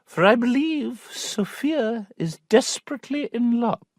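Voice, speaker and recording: A male narrator voicing a prim, knowing middle-aged gentlewoman aunt, speaking slowly and deliberately with a superior air. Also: extremely high pitch, animated, no room sound, pitched above the performer's own voice, exaggerated voice. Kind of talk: audiobook character voice.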